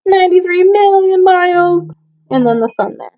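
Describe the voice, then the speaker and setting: A woman's voice: very high voice, lively intonation, moderate pace, lo-fi sound. A woman teacher reading children's poems aloud and explaining things to young listeners, often putting questions to them. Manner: addresses children with frequent questions.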